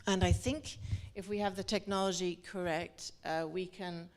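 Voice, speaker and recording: Woman, event moderator, lowish clear voice, semi-formal, discursive and hesitant phrasing. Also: steady tone, normal speed. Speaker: moderator of an art talk. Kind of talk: semi-formal introduction and interviewing before an audience. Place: indoor talk venue with an in-person audience.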